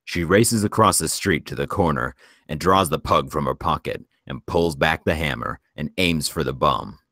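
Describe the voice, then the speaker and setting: Very deep-voiced male script narrator. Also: normal speed, fairly bright tone, dry recording, clean studio sound. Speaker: narrator reading stage directions. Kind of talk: scripted narration for a table read.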